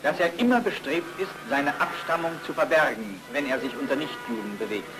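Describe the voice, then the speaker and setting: A man's voice: mid-range voice, a little fast. A man delivering scripted narration for a 1940s propaganda film. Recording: distant old film soundtrack with music.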